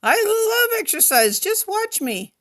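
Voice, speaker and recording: A woman voicing a small, anxious young male elephant who pleads in a high, eager voice. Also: expressive, measured pace. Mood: frantic.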